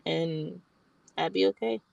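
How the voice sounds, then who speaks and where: A woman's voice: low-pitched, slow, gravelly, lo-fi sound. A tired, slightly stuffy woman, rambling casually in a late-night chat. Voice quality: soft voice. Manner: soft-spoken, rambling with restarts.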